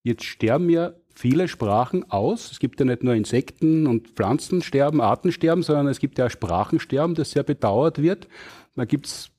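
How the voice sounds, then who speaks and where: A man, hosting a live podcast on stage, asking quick, provocative questions. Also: mid-range voice, lively intonation, mellow. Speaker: podcast host. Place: stage before a live audience.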